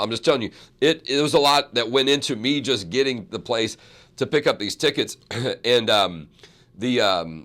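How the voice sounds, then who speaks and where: A man, hoarse from a cold, hosting a talk show and rambling through asides and self-corrections. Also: mid-range voice, fairly bright tone. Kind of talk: casual talk show chat and monologue.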